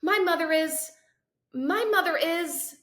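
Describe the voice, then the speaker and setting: A woman's voice: extremely high pitch, slow pace, fairly bright tone, voice pushed up, full character voice. A woman voicing a confused, demanding boy with amnesia in a storybook, blustering and urgent. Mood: blustering, bewildered. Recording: roomy echo.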